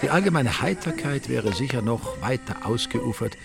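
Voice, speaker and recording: An actor with an Austrian accent narrating a radio drama as a wry storyteller, in ornate mock-epic style. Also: male voice, medium pitch, expressive, normal speed, creaky, over loud music. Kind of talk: scripted literary narration.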